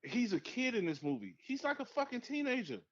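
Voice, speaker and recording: A man co-hosting a podcast in casual movie chat, animated and profane, jumping to high excited outbursts. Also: a little fast. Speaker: podcast co-host. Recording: dull lo-fi sound.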